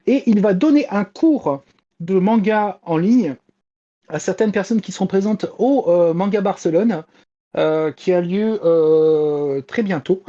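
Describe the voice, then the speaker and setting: Podcast panelist, male, 40s. Dark, relaxed tone, halting casual delivery, lo-fi sound. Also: sits high. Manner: hesitant, searching for words. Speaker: podcast panelist giving manga news. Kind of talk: casual news chat. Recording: slightly dull, lo-fi voice track.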